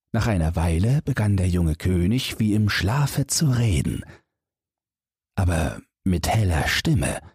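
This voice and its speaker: A very husky man with a low voice reads a bedtime fairy tale. He takes his time, phrasing each sentence deliberately as an audiobook narrator. The recording is close and dry. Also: a little mellow, no echo. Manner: measured, storybook reading with deliberate phrasing.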